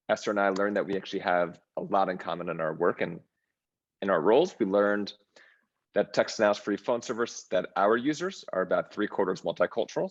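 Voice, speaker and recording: A man moderating a fireside chat, introducing the guest in a friendly, measured way. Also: low-pitched, dull audio.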